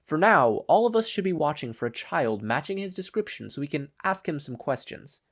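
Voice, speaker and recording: A man plays a fussy male official in a story, pitched well above a normal talking voice. He is prim and precise, with an exasperated edge. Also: very animated, brisk, a bit bright, full character voice. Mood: brisk, businesslike.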